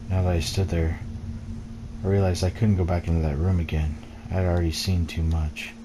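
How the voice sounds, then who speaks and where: A man reading a first-person story aloud in a measured, brooding, dramatic way, as narration for a video game playthrough. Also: deep voice, flat delivery, background noise. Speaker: let's play gamer reading game text aloud.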